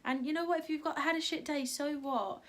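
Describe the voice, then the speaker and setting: A young woman talks casually to camera, her voice a little high and croaky from just waking up. She rambles warmly through her points, full of fillers and friendly encouragement. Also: steady tone, a little fast. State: croaky morning voice. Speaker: vlogger. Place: at home.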